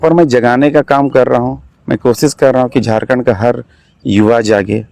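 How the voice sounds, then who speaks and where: A man's voice: mid-range voice, steady tone, normal speed, creaky, darkish tone, no echo. A young man from Jharkhand with a Jharkhand Hindi accent, answering interview questions as an election candidate in an earnest, exhorting manner. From Jharkhand, India. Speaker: election candidate, political activist.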